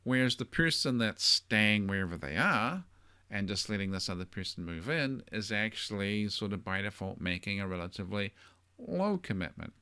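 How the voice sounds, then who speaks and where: A man's voice: lowish voice, lively intonation, normal speed, bright, forceful tone, smooth. A middle-aged man with a New Zealand accent, hosting a podcast and giving relationship advice in a thoughtful, conversational solo monologue. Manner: thoughtful, conversational, with frequent hedging fillers.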